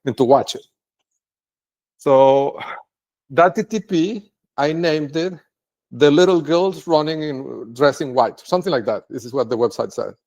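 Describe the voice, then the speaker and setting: Slightly high-voiced man, native Spanish speaker. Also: darkish tone. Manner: rambling, halting, tags sentences with "right?". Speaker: video blogger. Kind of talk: unscripted monologue to camera.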